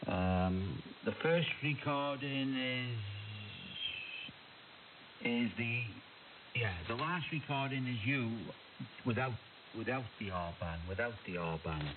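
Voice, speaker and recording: A man's voice: medium pitch, lively intonation, slow. Man on a noisy shortwave radio. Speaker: amateur radio operator. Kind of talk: casual ham radio conversation. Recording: noisy, hissy, dull shortwave radio signal.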